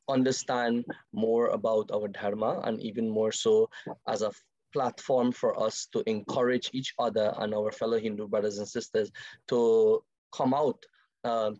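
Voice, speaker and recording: A man with a Guyanese accent, a priest introducing himself on a panel in a formal, halting way over slightly dull call audio. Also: on the low side, a little mellow, dry recording.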